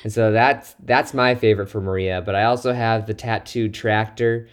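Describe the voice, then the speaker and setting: A man's voice: on the low side, steady tone, moderate pace, smooth. A man chatting casually and teasingly as a podcast co-host. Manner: playful, teasing. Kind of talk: casual chat.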